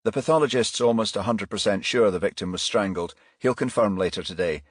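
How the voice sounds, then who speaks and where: A man's voice: on the low side, brisk, slightly rough, no echo, clean studio sound. A man playing a firm, unflappable police detective inspector in a crime audiobook. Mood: reporting.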